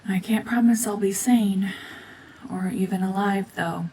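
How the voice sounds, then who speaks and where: A woman acting a cold, domineering mutant witch in an ASMR roleplay drama, hushed and often whispering, with terse, guarded commands. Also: mid-range voice, fairly even intonation, a little slow, slight background noise, natural voice. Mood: guarded, softening. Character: renegade mutant cyborg gorgon witch, female, cold, domineering, slowly softening.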